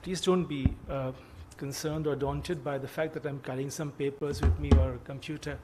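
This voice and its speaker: An older man delivers a formal keynote address in a courteous, measured way, pausing often to search for words. His voice is slightly high for a man. A faint hiss of noise sits under the recording. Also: fairly even intonation. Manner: measured, courteous, with frequent pauses. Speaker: keynote speaker, retired judge.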